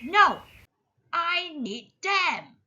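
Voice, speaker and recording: A woman voicing a headstrong, excitable little child character in a picture book, exclaiming emphatically and drawing out words. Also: very high-pitched, extremely slow, highs cut off, voice pushed up, heavily acted. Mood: cross, defiant.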